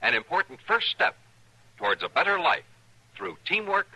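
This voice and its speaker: An American man delivers a formal radio announcement with earnest, measured phrasing. His raspy voice is very bright and rises and falls emphatically, on a vintage broadcast recording. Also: sits high, big pitch swings, low-quality recording. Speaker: public service announcer.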